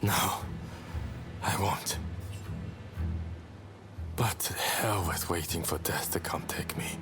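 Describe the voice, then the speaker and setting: Defiant rebel warrior over music. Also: male voice, low voice, slow, whispered. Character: defiant, impatient male revolutionary warrior. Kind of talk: dramatic video game cutscene acting.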